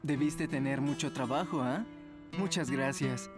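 An actor voicing a friendly, laid-back young celebrity heartthrob in a cartoon dub, polite. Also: male voice, mid-range voice, measured pace, natural voice. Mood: friendly, relaxed. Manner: easygoing, polite. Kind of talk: animated cartoon dub acting. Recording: loud guitar music behind.

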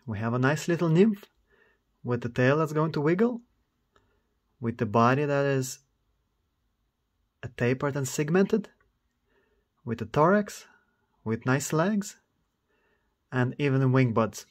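A man's voice: medium pitch, expressive, unhurried, pauses often. Man narrating a lure-making tutorial. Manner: upbeat, talks to viewers outdoors. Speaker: fishing channel host. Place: canal towpath outdoors.

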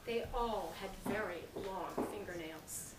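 A woman's voice: medium pitch, slow pace. A middle-aged American woman reading a children's story aloud expressively, projecting to young listeners. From California, US. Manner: animated, projecting to a young audience. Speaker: children's author reading at a library. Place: library reading area, indoors. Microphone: camera a few metres away. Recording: echoey, noisy room, distant camera mic.

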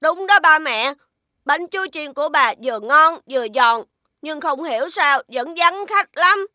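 A woman's voice: high voice, expressive, unhurried, pushed and bright, dry recording, studio quality, heavily acted. A woman voicing a small, frank, friendly magical creature child in a children's cartoon, with a southern Vietnamese accent. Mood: concerned.

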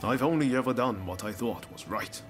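A male actor voices a bold, friendly young ronin in a video game. He is an idealist who talks in a casual, earnest way and encourages others, at a medium pitch for a man. Music plays clearly behind him. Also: moderate pace, close to an everyday voice. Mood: reflective, resolute. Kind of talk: video game cutscene acting.

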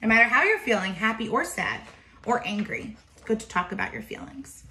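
Lady teacher reading a kids book out loud, highish bright voice, echoey kitchen. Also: fairly quick, a little hiss or hum. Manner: expressive, simple explanatory asides. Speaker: teacher reading aloud to children. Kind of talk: picture-book read-aloud with asides to young children. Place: home kitchen, indoors. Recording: roomy, echoey home kitchen.

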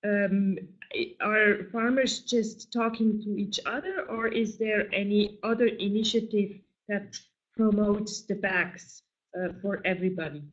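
A moderator speaks slowly and courteously, guiding a webinar discussion with frequent hesitations. The call audio sounds dull and lo-fi. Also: mid-range voice, steady tone. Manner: polite, hesitant, many fillers. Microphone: video call.

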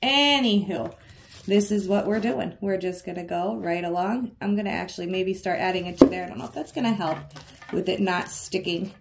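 A woman with a hoarse, froggy voice narrates a relaxed DIY project from her living room. She is chatty and quick to poke fun at herself. Her tone has a gravelly edge, and a faint hiss sits under the recording. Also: fairly low pitch. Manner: chatty, self-deprecating, giggly. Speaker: craft vlogger. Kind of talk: casual DIY tutorial narration. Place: living room, working at a coffee table.

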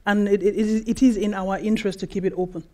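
A woman's voice: mid-range voice, steady tone, fast talker, darkish tone. A woman from Cameroon with a Cameroonian accent, speaking semi-formally as an activist panelist. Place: seated on stage in a conference room.

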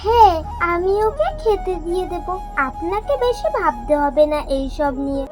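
Lady doing a super high girlish scheming young wife, cartoon drama, music behind. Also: lively intonation, normal speed. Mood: scolding. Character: scheming, spiteful young daughter-in-law, lying to her husband. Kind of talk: cartoon folk-tale voice acting.

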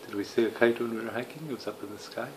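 A man chatting casually as a father with a toddler, gently prompting with questions. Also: medium pitch, very fast. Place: tiled living room at home. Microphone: handheld phone, behind the camera. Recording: noisy home phone video.

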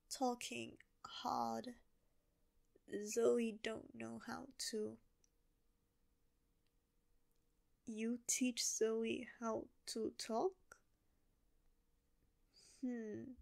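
A woman voicing a sleepy, friendly zombie girl who talks in slow, broken, simple words for an ASMR roleplay. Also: fairly high pitch, gravelly, darkish tone, full character voice. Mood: drowsy.

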